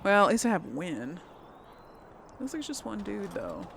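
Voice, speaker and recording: A woman's voice: medium pitch, wildly swooping pitch, normal speed, vocal fry, fairly bright tone, a little hiss or hum. A woman giving casual game commentary, thinking aloud and chatting to her viewers. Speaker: gamer hosting a let's play. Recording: game music behind the voice. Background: video game music.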